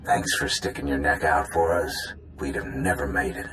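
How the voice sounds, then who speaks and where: An actor playing a good-hearted working-class salvager in a video game, folksy, drawling and earnest. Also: male voice, very deep voice, normal speed, a bit bright. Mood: relieved. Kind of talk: video game character acting. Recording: music behind the voice.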